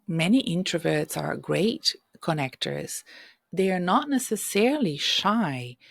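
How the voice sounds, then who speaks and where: A middle-aged woman hosting a career-advice podcast, hoarse and husky from illness, speaking directly and coaching listeners with frequent "okay?" checks. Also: sits low, no room sound, clean studio sound. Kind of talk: solo podcast advice talk. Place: home room.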